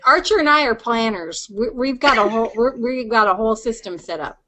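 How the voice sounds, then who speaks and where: A woman co-hosting a casual podcast, playful, blunt and excitable in the way she talks. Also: a little high, fairly quick. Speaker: podcast co-host. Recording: clear background noise, clicks.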